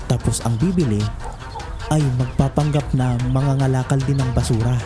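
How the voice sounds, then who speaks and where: An actor with a Filipino accent playing a sly, breezy drug-dealing friend in an audio drama, coaxing and cocky. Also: male voice, mid-range voice, steady tone, normal speed, close to an everyday voice. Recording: music bed under the voice.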